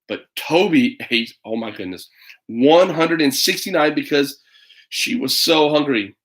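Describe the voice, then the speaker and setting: A man reading a children's picture book aloud in an animated, dramatic way, with chatty asides to a young listener. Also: fairly high pitch, a little slow. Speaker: storybook reader.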